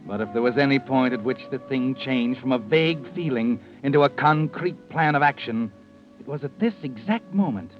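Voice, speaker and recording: A man's voice: medium pitch, animated, normal speed, soft background music, natural voice. An actor playing a sinister, knowing narrator of an old-time radio mystery, insinuating as he addresses the villain. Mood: ominous. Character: ominous male narrator who knows the villain's mind. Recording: muffled vintage radio recording.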